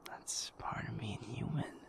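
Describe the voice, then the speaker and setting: A man playing a gentle, patient werewolf in an intimate audio roleplay, soothing and reassuring in a halting, breathy, creaky whisper. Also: natural voice. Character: gentle, patient male werewolf comforting a sleepless partner.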